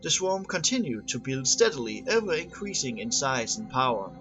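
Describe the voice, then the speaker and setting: A man reading scripted science fiction lore aloud as an amateur narrator, a little halting on long words. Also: medium pitch, sing-song, music in the background, rough recording.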